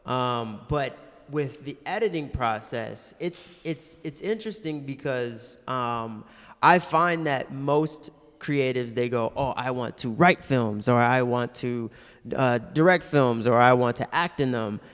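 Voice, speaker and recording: A man's voice: mid-range voice, a little slow, a bit bright, clean tone. A man hosting a podcast interview, asking long, rambling questions in a casual way. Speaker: podcast host. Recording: long reverb on voice.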